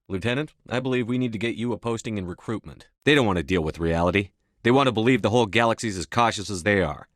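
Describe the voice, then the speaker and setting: An actor playing a resolute sci-fi military commander in a video game, with calm authority and measured, firm, clipped delivery. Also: male voice, on the low side, dry recording, clean studio sound. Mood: firm, commanding. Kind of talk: scripted video game dialogue.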